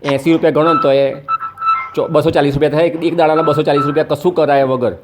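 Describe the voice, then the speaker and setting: A man's voice: fairly high pitch, steady tone, fast talker, music in the background. A man with a Gujarati accent talking casually and colloquially, explaining his point insistently in an argumentative conversation. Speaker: activist and social media video maker.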